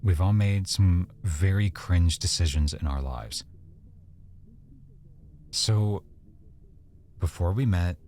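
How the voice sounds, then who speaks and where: Guy acting as a teasing sweet boyfriend, asmr roleplay, super low voice, soft n hesitant, faint noise behind. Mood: embarrassed, reluctant, then sheepish. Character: affectionate, teasing, easily embarrassed tattooed young boyfriend.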